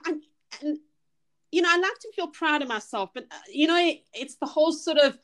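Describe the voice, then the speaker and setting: A woman's voice: high-pitched, animated, a little fast, a bit bright. A middle-aged woman with a South African accent, a personal trainer and motivational speaker. She talks chattily and earnestly as a podcast guest, with many restarts and fillers. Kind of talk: casual podcast interview.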